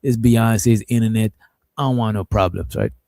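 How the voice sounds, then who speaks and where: A man's voice: fairly low pitch, normal speed, vocal fry, darkish tone, dry recording, studio quality. A man hosting a casual stream, reacting to a clip in a laid-back, profane, rambling way.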